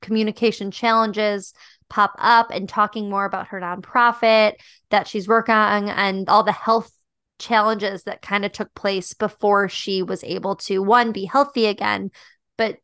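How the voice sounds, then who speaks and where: A young adult woman co-hosting a podcast, chatting in a thoughtful, reflective way with frequent fillers, with a voice she calls high-pitched. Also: steady tone, measured pace, fairly bright tone, clear, resonant tone, dry recording, studio quality. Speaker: podcast co-host. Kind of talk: casual chat about a dating show.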